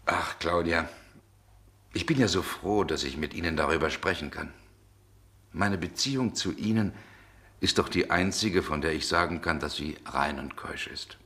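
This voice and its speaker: An actor playing a brooding, world-weary middle-aged gentleman in a 1960s German radio drama, with genteel, measured diction. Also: deep voice. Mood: tender, confiding.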